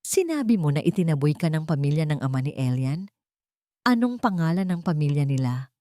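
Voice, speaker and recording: A serious, principled male lawyer in an audio story, voiced by a woman narrator. He is probing and determined, with animated pitch and a dry sound. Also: fairly quick, dry recording. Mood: curious, intrigued. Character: sharp-minded, principled male human rights lawyer, curious and determined.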